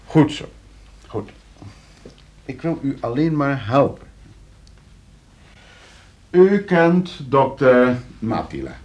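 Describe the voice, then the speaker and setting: An actor playing a smug, scheming lawyer in a Dutch radio play, suave and condescending, with a Netherlands accent and hesitant repetitions. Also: male voice, mid-range voice, very animated, slow pace, pauses often, lo-fi sound. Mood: needling, smooth. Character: smug, scheming lawyer, falsely hospitable and needling.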